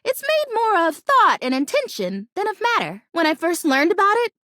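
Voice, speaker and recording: A woman voicing a perky, earnest young female houseplant who longs to be real, bubbly and chatty, in an animated video game style. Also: extremely high pitch, normal speed, dry recording, clean studio sound, full character voice. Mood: explaining eagerly. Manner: bubbly, gushing, naively optimistic, chatty.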